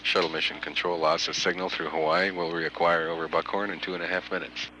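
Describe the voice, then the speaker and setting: Very low-voiced male mission control announcer. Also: level tone. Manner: halting, frequent long hesitations. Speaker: mission control public affairs commentator. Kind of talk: measured live spaceflight commentary. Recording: noisy archival 1980s mission audio.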